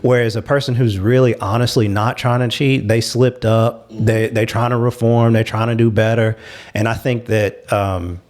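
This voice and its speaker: A man's voice: mid-range voice, steady tone, normal speed, a little mellow. A man in a casual panel discussion, talking in an explanatory, reasoned manner.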